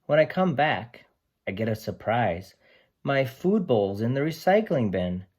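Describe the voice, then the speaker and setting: A man's voice: mid-range voice, sing-song, unhurried, darkish tone. Man reading as an eager storybook dog. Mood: worried. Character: friendly dog narrator helping his family recycle.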